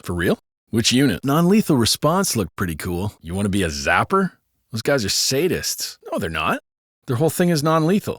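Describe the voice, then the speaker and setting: A man's voice: on the low side, a bit bright, no echo, studio quality. A man voicing a gossiping, foul-mouthed American cop in a video game, talking in a colloquial, sardonic way. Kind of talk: casual scripted banter for a video game.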